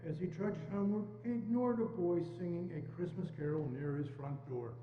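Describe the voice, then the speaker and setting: Higher-voiced man narrating in echoey hall. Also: a little fast. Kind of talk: scripted dramatic narration. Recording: muffled, echoey hall, hum.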